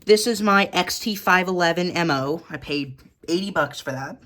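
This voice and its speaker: A woman's voice: lowish voice, moderate pace, fairly bright tone, a little hiss or hum. A woman giving a casual, matter-of-fact show-and-tell of a hobby collection in short, clipped phrases, recorded indoors at home.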